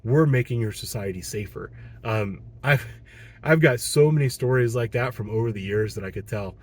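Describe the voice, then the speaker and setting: A man in his thirties with a Midwestern American accent, a patrol police officer talking on his own in a frustrated, earnest way, hoarse as he loses his voice, with frequent ums and restarts. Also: medium pitch, normal speed. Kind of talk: solo monologue commentary.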